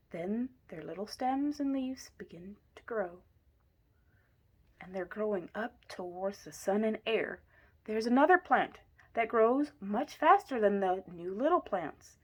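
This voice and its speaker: A woman reading a children's picture book aloud in an expressive way, with deliberate emphasis. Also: a little high. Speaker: story reader.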